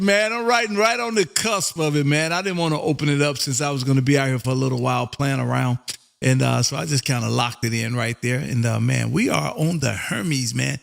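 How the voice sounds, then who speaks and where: Gravelly, high-swinging American man on ham radio. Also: fairly bright tone. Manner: exuberant, folksy, repeats catchphrases. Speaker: amateur radio operator and livestream host. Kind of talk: casual on-air ham radio chat.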